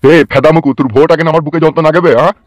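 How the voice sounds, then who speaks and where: A man's voice: a little high, fairly even intonation, racing, dry recording, lo-fi sound, lightly acted. Man doing a crude, cantankerous old cartoon villager. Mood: indignant. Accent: rural West Bengal. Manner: scolding, crude, theatrical. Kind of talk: fast comic cartoon dialogue.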